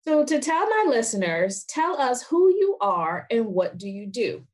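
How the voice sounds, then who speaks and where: A woman's voice: on the high side, big pitch swings, a little slow, darkish tone. A woman hosting a podcast, reading scripted narration with an upbeat delivery. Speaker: podcast host.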